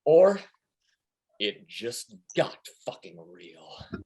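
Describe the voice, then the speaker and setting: A woman's voice: rumbling low, sing-song, extremely slow, dark tone, full character voice. Woman playing brash, foul-mouthed schemer, wildly swooping delivery. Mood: sardonic, menacing. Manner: sarcastic, profane, blustering. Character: Steve, brash, foul-mouthed, paranoid, grieving male schemer. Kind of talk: comic script table reading. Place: remote video call.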